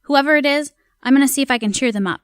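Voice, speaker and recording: A woman's voice: high-pitched, fast, dry recording, clean studio sound, lightly acted. A woman playing a timid, kind-hearted household servant, reading her journal in an audio drama in a simple, confiding way. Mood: hushed resolve.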